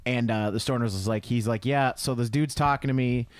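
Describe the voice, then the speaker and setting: A man's voice: medium pitch, fairly even intonation, a little fast, smooth. A man co-hosting a podcast, chatting casually and comedically in a cynical, sweary, rambling way. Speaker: podcast co-host.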